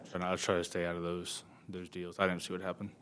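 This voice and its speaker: Young guy, college qb doing postgame answers, pretty low voice. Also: completely flat delivery, fast talker, a bit bright, a little hiss or hum. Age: 20s. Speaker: college football quarterback. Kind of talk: postgame press conference answers. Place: press conference table indoors.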